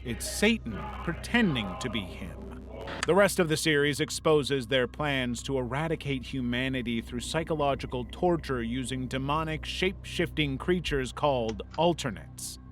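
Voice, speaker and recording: A man's voice: medium pitch, animated, normal speed. Man, mid-range voice, scripted video essay narrator, light background music.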